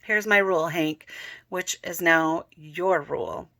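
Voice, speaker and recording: A woman's voice: fairly low pitch, takes it slowly, fairly bright tone. A woman voicing a serious middle-aged father laying down family rules in a read-aloud story. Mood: stern.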